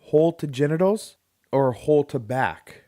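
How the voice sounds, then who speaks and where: A man's voice: a little high, expressive, moderate pace, mellow, no echo, studio quality. A man co-hosting a casual podcast from home, blunt and teasing as he reads out poll results. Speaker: podcast co-host. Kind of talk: casual chat.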